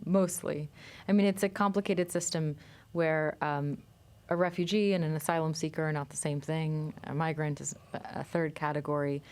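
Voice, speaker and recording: A woman filmmaker, a guest in a studio interview, explaining her work in a measured way with frequent pauses and ums. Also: deep voice.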